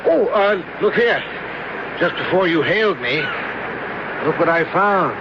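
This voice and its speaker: Courteous sceptical professor, lively high voice. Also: male voice, a little slow. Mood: affable. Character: polite, sceptical zoology professor on holiday, a father. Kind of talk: old-time radio drama acting. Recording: dull, very noisy radio drama recording.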